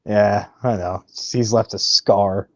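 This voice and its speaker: Lowish male voice, Midwestern American with Southern touches, casual chat, dry but dull lo-fi sound. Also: steady tone, takes it slowly, fairly bright tone, no echo. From Indiana, United States. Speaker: livestream panelist.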